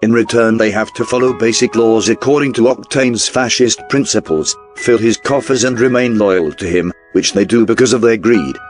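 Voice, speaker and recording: A man reading a scripted newsreel-style documentary narration in a formal, measured way. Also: on the low side, steady tone. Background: background music.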